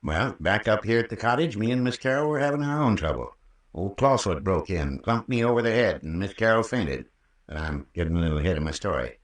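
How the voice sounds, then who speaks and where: Man playing a low-voiced folksy country doctor. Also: animated, natural voice. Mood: patient recounting. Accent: rural American. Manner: folksy, unhurried, patient storytelling. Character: folksy country doctor, soothing, long-winded peacemaker. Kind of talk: old-time radio drama acting. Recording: roomy, distant old radio recording.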